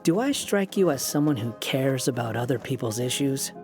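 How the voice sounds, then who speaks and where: A man's voice: mid-range voice, lively intonation, normal speed, natural voice. An actor playing an aloof, prickly young male wanderer in a game, with a curt, sardonic manner. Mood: irritated, dismissive. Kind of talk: game character dialogue. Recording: music behind the voice.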